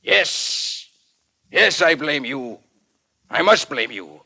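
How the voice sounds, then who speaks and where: Creaky, bitter old magician. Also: male voice, on the high side, lively intonation, slow pace, bright, forceful tone, full character voice. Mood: bitter, accusing. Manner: emphatic, indignant, stilted foreign-sounding phrasing. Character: bitter, proud old male magician turned trick builder. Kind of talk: 1940s radio acting.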